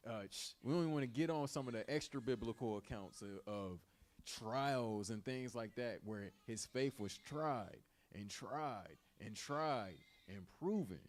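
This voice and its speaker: A man's voice: medium pitch, lively intonation, measured pace. A man leading an informal Bible study, teaching and retelling in colloquial, repetitive everyday speech.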